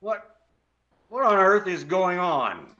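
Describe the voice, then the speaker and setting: Blustery comic neighbor acted by a man, bright forceful voice, big pitch swings, quick to bicker. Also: big pitch swings, muffled recording, heavily acted. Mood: stunned, indignant. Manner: blustery, exclamatory, profane. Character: loud, defensive, gleeful bickering male neighbor. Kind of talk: comedic stage play acting.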